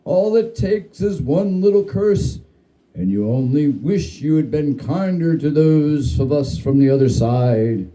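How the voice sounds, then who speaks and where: A man voicing a gloomy, reproachful, needy ghost in a dramatic story reading, complaining in a grim, forlorn, drawn-out way. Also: on the high side, expressive, mellow, rough recording. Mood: grim, foreboding. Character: gloomy, reproachful, needy male ghost who nags the living.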